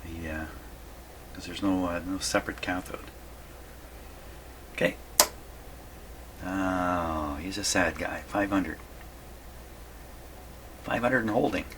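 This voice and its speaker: Male hobbyist repair host, low register, casual and folksy, talks to himself as he works, noticeably noisy audio. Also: slow pace, long pauses. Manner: folksy, musing aloud to himself. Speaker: radio repair hobbyist hosting a video. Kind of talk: casual workbench commentary.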